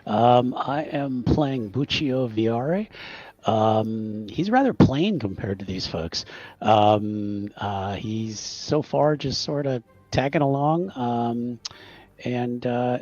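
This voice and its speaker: Muffled close dry mic, male game player, slow, understated and hesitant casual talk. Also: medium pitch, expressive, darkish tone, dry recording. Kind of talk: casual self-introduction.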